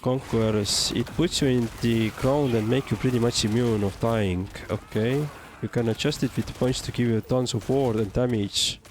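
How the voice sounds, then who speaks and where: A man's voice: mid-range voice, darkish tone, slight background noise. A man from Estonia with an Estonian accent, giving casual livestream game commentary and answering chat in a hesitant, thinking-aloud way. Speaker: game streamer.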